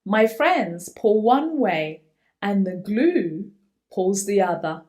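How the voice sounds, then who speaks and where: A woman reading a children's picture book aloud with theatrical emphasis and drawn-out words. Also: mid-range voice, expressive, darkish tone. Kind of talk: expressive picture-book read-aloud, voicing a young boy's first-person story.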